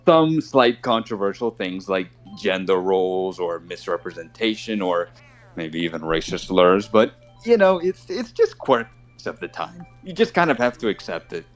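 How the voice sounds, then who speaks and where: A man's voice: low voice, lively intonation, moderate pace, faint music behind. A man with a mixed Colombian Spanish and Brooklyn accent, a native Spanish speaker, chatting casually while gaming and rambling with lots of "you know" fillers. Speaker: tournament host.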